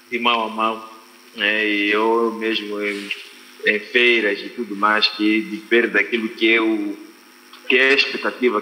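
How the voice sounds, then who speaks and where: A man in his thirties with a Mozambican accent, giving his opinion as a panel guest on a video call, deliberately restating his phrases. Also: lowish voice, pushed and bright. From Quelimane, Mozambique. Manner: deliberate, repeats and rephrases phrases, often adds "né" as a tag. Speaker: guest writer on a literary panel. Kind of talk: panel discussion, extempore opinion. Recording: reverberant, noisy video-call audio.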